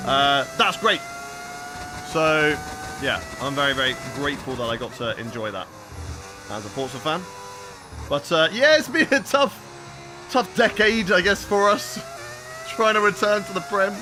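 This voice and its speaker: A man's voice: fairly high pitch, lively intonation, unhurried, long pauses, background noise. A young man casually chatting with viewers while he plays a racing game on a live stream.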